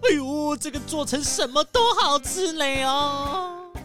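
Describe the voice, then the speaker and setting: A woman's voice: high-pitched, animated, takes it slowly, over music. A woman voicing a rich, anxious, food-loving male squirrel tycoon in a children's story, fussy and full of exclamations. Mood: delighted. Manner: fussy, exclamatory, repeats words. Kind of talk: children's audio story acting.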